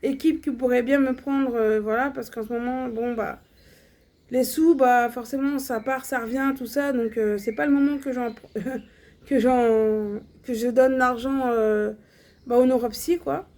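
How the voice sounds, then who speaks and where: A woman's voice: fairly high pitch, fairly even intonation, a little slow, darkish tone. A young woman talking casually and bluntly to her viewers, with many fillers and restarts. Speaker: vlogger. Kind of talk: casual personal vlog monologue.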